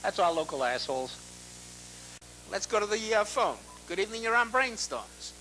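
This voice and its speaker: A man with a bright, fairly high voice hosts a live call-in program. He sounds gruff and exasperated, brushing callers off curtly. The recording is noisy public-access TV audio with an audible buzz. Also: very animated, moderate pace. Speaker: host of a call-in show.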